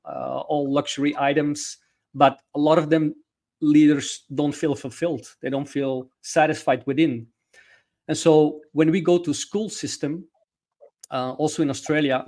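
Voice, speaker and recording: A man's voice: medium pitch, darkish tone. Middle-aged man. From Belgium. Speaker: podcast guest, leadership coach. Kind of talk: explanatory interview talk.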